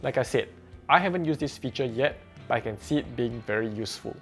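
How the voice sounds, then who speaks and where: A man reviewing a headset in a plain, measured, explanatory way, as a scripted voice-over. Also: medium pitch, lively intonation, a bit bright, faint music behind. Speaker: tech reviewer. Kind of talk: scripted product review narration.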